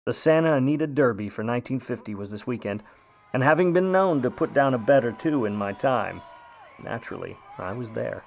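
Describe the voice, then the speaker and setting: An actor playing a sardonic, world-weary 1950s Hollywood private eye in a radio play, with hard-boiled delivery. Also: male voice, medium pitch, animated, a little fast, vocal fry, a faint crowd. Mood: wry narration. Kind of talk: noir radio play narration and dialogue.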